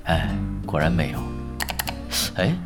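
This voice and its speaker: A man's voice: extremely low pitch, animated, slow, full character voice. An actor playing a laid-back, shameless young male pro gamer who loves money, with a nonchalant, teasing manner, in an audio drama. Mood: playful scheming. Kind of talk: Chinese audio drama acting. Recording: music behind the voice.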